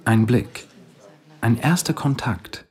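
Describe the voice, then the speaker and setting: Medium-pitched man reading a report. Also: slow pace, noisy background. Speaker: narrator. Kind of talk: scripted intelligence-report narration.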